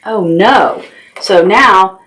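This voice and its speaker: A woman's voice: medium pitch, very slow, fairly bright tone. A woman hosting a children's storytime, reading aloud expressively and talking simply and directly to young listeners. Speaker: library storytime host. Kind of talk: children's storytime with action songs and read-aloud.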